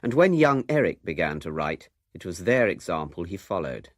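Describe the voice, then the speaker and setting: Man, British accent, scripted documentary narrator, fairly low pitch, animated delivery. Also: moderate pace, no echo, clean studio sound.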